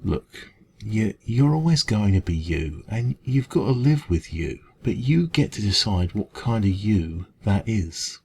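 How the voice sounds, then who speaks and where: A man with an English accent playing a kind café dragon in an ASMR roleplay, talking gently and reassuringly in a creaky voice. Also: lowish voice, sing-song, a little slow, exaggerated voice. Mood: earnest, reassuring. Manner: gentle, reassuring, hesitant conversational encouragement. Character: kind, caring male dragon who runs a café. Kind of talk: ASMR roleplay, comforting one-to-one talk.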